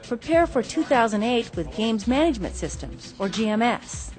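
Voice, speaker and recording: A woman reporter reading scripted news narration in a clear, measured way over a music bed. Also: fairly high pitch, sing-song, darkish tone.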